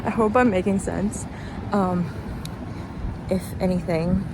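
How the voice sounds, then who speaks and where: A woman's voice: lowish voice, steady tone, slow. A young woman talking casually and reflectively in a rambling vlog, recorded outdoors while walking. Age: young adult. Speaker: vlogger. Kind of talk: casual chatty vlog monologue. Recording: noisy outdoor street with traffic.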